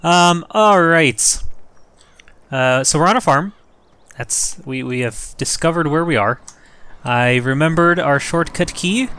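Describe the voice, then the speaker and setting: Slightly hoarse rambling gaming host man. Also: fairly high pitch, fairly bright tone, slight background noise. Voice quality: rough. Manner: rambling, self-joking, thinks aloud. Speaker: let's play host. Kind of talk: casual gameplay commentary.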